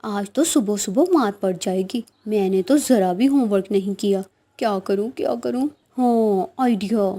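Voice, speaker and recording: A woman's voice: medium pitch, a little slow, hardly any pauses, mellow, close to an everyday voice. A woman voicing a lazy, sly and cheeky schoolboy bird in a children's cartoon. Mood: worried, scheming. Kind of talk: children's cartoon story acting.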